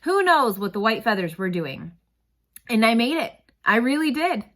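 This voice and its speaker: A woman narrating a storybook read-aloud with expressive, dramatic phrasing. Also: on the high side, moderate pace.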